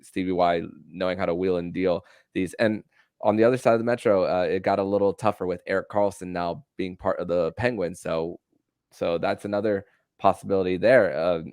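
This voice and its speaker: A man hosting a casual sports podcast who speaks haltingly, filling his pauses and repeating words as he searches for his next thought. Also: low-pitched, a little mellow, dry recording, clean studio sound. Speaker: podcast host.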